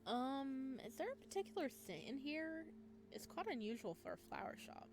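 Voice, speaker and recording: A woman playing a visual novel, reading its text aloud and breaking in with excited reactions. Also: high voice, moderate pace, background noise. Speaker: game streamer.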